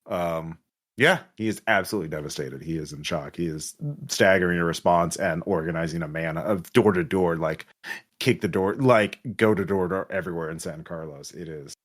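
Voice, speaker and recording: A man narrating an improvised tabletop game as game master, halting and pausing mid-sentence. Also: low-pitched.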